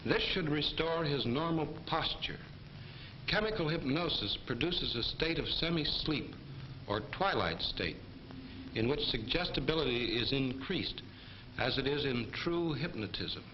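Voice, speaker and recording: An actor playing a composed, authoritative army doctor, lecturing in a measured, formal 1940s training film manner. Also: male voice, fairly high pitch, expressive. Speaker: military medical instructor. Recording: muffled, echoey, noisy 1940s film soundtrack.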